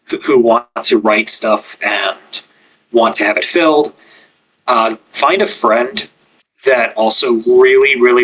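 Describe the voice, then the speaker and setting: A man's voice: mid-range voice, a bit bright, husky, poor audio. A man who writes scripts, talking on a podcast in a hesitant way, often repeating his words. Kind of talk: casual podcast chat.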